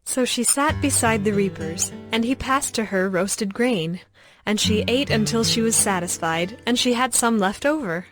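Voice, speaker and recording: A woman narrating a scripted, dramatized scripture audiobook in a measured storytelling manner. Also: a little high, expressive, music in the background.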